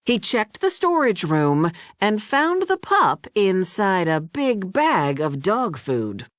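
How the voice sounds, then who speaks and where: A woman reads a children's storybook aloud with a hugely animated voice. She takes her time, narrating deliberately and expressively in a close, dry recording. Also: medium pitch, dry recording. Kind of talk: scripted children's read-along narration.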